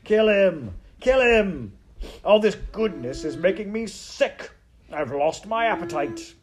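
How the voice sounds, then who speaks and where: A man's voice: medium pitch, very animated, creaky, slight background noise, full character voice. A man voicing a gleefully cruel rat in a storybook, gloating and jeering theatrically. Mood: disgusted jeering. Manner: theatrical gloating, drawn-out shouts.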